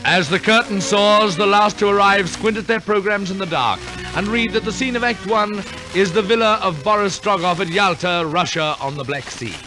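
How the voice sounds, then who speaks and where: A man's voice: high-pitched, moderate pace, over music. A man narrating a 1940s radio operetta in a theatrical, wry, tongue-in-cheek storytelling manner. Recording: noisy 1940s radio broadcast recording.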